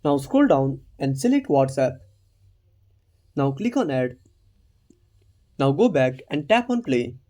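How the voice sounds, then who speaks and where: A man's voice: medium pitch, sing-song, slow pace, long pauses, warm tone. A man narrating a scripted step-by-step tutorial as its host.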